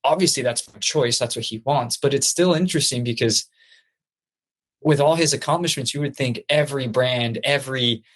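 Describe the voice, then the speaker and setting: A man's voice: mid-range voice, moderate pace. A young man from Peru, raised in Florida, a podcast guest in casual chat who stammers a little at the start of his thoughts.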